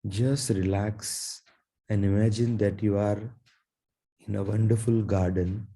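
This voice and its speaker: A man with a low, very mellow voice guides a meditation. He speaks slowly and gently, in short soothing phrases with long pauses between them. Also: steady tone, husky. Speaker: meditation guide, Reiki teacher.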